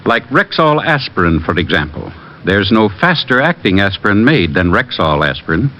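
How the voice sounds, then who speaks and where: A man's voice: on the low side, very animated, normal speed, sharp tone. A man reading a scripted old radio commercial as an earnest druggist spokesman. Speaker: druggist spokesman in sponsor advert. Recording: dull, noisy old radio recording.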